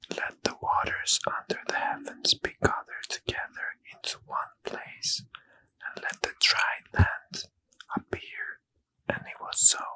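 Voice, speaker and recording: A man reading scripture in a slow, breathy unvoiced ASMR whisper as a host. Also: bright, forceful tone, no room sound, poor audio.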